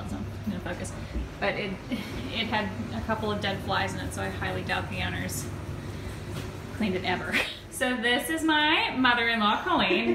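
A woman's voice: on the high side, fairly bright tone. Upbeat young woman in an echoey empty house. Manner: chatty, upbeat, laughs often. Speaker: vlogger. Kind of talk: home renovation vlog. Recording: echoey empty rooms, noisy.

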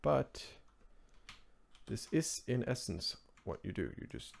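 A man from Norway hosting a casual software tutorial in English as a second language, talking in a rambling, offhand way with informal asides. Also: medium pitch, big pitch swings, slow, creaky. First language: Norwegian. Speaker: tutorial host. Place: indoors at a computer, screen recording voice-over.

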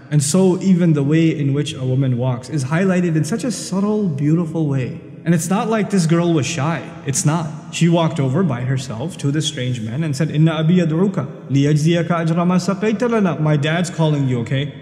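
A man's voice: a little high, a little fast, hardly any pauses, mellow, soft background music. A man giving an earnest religious talk, explaining scripture and reciting Arabic verses. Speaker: Islamic lecturer. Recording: roomy hall with long reverb.

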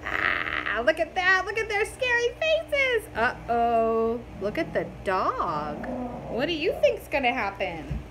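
Animated, high-pitched middle-aged woman reading aloud. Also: slow pace. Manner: expressive, asking the listeners questions. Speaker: storybook reader for children. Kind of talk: animated children's read-aloud. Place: room at home, indoors. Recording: faintly noisy home recording.

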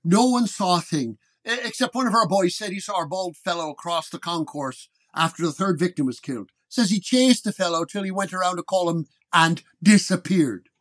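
Male actor as beefy 1930s transit cop for radio drama: gruff, indignant, folksy, slightly high-set voice. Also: moderate pace, hardly any pauses, fairly bright tone. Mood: scornful. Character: florid, hot-tempered beefy Irish-American transit policeman.

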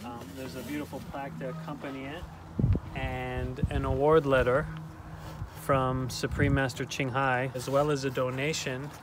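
A middle-aged man presenting an award, reading a formal letter in a measured way. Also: mid-range voice, level tone. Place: outdoors at a farm. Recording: outdoor recording with background noise.

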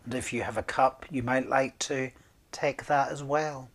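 Slow-paced middle-aged man leading communion prayers. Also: medium pitch. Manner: measured, solemn, with deliberate pauses. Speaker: minister leading worship. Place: small room indoors, at a desk.

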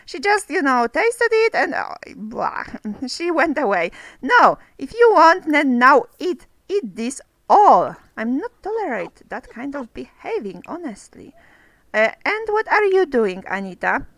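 Young woman, high and animated, casual game chatter, slightly sick voice, dry close mic. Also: unhurried, no echo. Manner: chatty, non-native phrasing, talks to her characters. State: getting sick, voice a bit off. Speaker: let's play host. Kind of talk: casual gameplay commentary.

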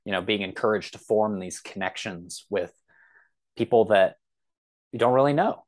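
A man in a casual discussion as a podcast guest, speaking in a halting, enthusiastic way as he thinks aloud. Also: on the low side, a little mellow.

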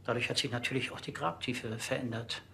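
An actor playing a formal, defensive undertaker, polite and businesslike, in a TV crime comedy. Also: male voice, mid-range voice, steady tone, fairly quick, creaky, bright, forceful tone, slight background noise.

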